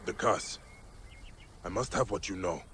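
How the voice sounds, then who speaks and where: Grave low-voiced warrior Bayek. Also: male voice, unhurried, a bit bright, soft background music. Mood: grave, probing. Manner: terse, solemn, determined. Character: grave, driven male warrior investigator of ancient Egypt. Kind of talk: dramatic video game cutscene acting.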